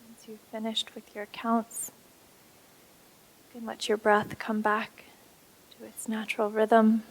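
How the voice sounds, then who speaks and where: A woman instructor leading a guided meditation in a soothing, unhurried way with pauses. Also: a little high, monotone, poor audio.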